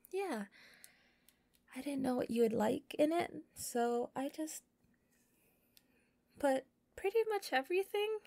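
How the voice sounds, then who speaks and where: A shy yandere young woman, acted by a woman in an intimate audio roleplay. Her voice is fairly high and close, with a dry sound. She speaks very slowly and softly, doting on her captive with a possessive sweetness. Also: no echo, heavily acted. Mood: doting, gentle. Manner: soft, breathy, often whispering, giggly, stammering when flustered.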